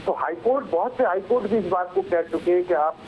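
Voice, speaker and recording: A man's voice: high voice, a little fast, darkish tone. A man speaking as a panel guest in a political debate, explaining his points earnestly. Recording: dull lo-fi remote link, light noise.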